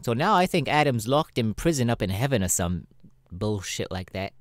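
Up close and dry, a man plays a sassy, cynical demon showman in an ASMR roleplay. His pitch swings widely as he drawls through casual, irreverent chat with hesitant pauses. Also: very animated, dry recording, natural voice. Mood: chatty. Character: sassy, cynical male demon adult performer.

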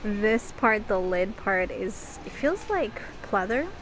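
A woman's voice: mid-range voice, lively intonation, slow. A woman doing a casual shopping vlog, chatting and thinking aloud as she browses, recorded out in noisy public stores. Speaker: shopping vlogger. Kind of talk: casual shop-with-me vlog chat. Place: inside busy stores and a mall. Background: shop and mall background noise.

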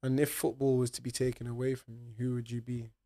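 A young man hosting a podcast, asking questions in a relaxed, friendly way. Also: medium pitch, fast talker. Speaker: podcast host. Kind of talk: casual podcast interview. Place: small studio with foam panels. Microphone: desk mic on arm.

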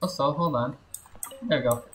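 A woman's voice: very deep voice, normal speed, faint music behind. A woman game streamer giving casual playthrough commentary.

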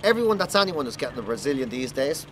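A man's voice: medium pitch, big pitch swings, quick pace, a bit bright. A middle-aged Irish man reading a book aloud dramatically, outdoors. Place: outdoors at seafront table. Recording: faint outdoor traffic noise.

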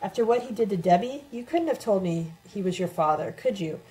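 A woman's voice: mid-range voice, expressive, brisk, dark tone, natural voice, rough recording. A woman voicing an embittered, scarred man in an audiobook, accusing and earnestly speechifying. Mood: bitter reproach.

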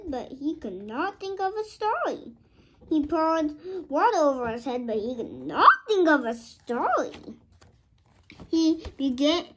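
A young female reader voicing a gentle, unwell male frog in a storybook, deliberately paced. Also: sits high, exaggerated voice. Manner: slow, deliberate read-aloud.

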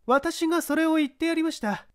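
A man voicing a haughty, high-pitched defiant woman. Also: brisk. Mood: brazen, scornful. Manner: haughty, sharp-tongued, feminine speech. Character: defiant, brazen young woman, a baseball scout. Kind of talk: dramatised audiobook reading.

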